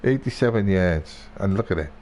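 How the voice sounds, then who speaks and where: A man's voice: low voice, lively intonation, moderate pace, darkish tone, slight background noise. A man live-streaming a video game with casual commentary, grumbling, swearing and repeating himself.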